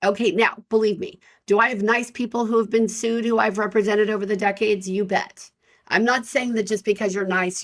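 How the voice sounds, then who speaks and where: Fifty-something lady lawyer doing her podcast solo, loud and full of energy, mid-range voice, talks straight to you. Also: medium pitch. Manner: frank, conversational, addresses listeners directly. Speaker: employment lawyer and podcast host.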